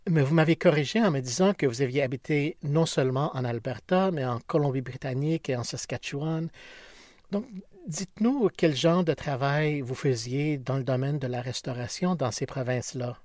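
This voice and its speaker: An interviewer asking calm, formal questions and addressing the guest politely. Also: mid-range voice, moderate pace, dry recording, clean studio sound.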